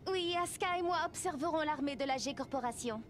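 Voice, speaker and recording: High, poised young noblewoman played by a woman. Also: normal speed, faint music behind, natural voice. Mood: composed briefing. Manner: formal, composed. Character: poised, composed young aristocratic lady, businesslike and serious. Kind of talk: video game cutscene acting.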